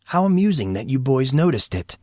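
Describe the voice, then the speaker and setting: A man's voice: mid-range voice, sing-song, brisk, darkish tone, dry recording, clean studio sound, voice pushed up. Man voicing a formal, amused school staffer. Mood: dryly amused. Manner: formal, precise, patiently explaining. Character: amused, courteous, formal adult male school employee.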